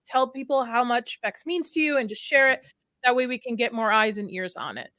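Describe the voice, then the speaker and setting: A woman's voice: a little high, animated, brisk, bright, forceful tone, no echo, clean studio sound. A woman hosting a casual podcast from her home streaming setup, chatty and giggly as she greets people in the chat. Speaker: podcast host. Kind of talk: casual chat, reading out chat names.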